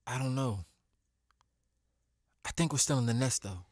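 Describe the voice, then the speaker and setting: A man playing a shaken, weary young companion in an animated adventure. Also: mid-range voice, a little slow, dry recording, clean studio sound, close to an everyday voice.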